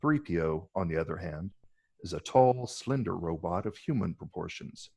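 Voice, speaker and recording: Low male voice, narrator, scripted dramatic reading, stage directions. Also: animated, normal speed, vocal fry, a little mellow, husky.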